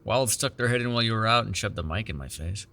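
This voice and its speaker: A man's voice: fairly low pitch, fast, pushed and bright. A man playing a sardonic but caring captive vampire in an immersive ASMR roleplay, talking in a wry, teasing, profane conversational way. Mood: wry, musing. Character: sardonic, caring immortal male vampire held captive in cuffs.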